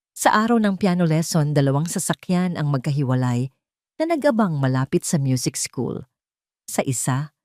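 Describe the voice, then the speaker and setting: Story narrator: Filipino woman, low register, dramatic scripted read, dry studio-like sound. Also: a little mellow, dry recording.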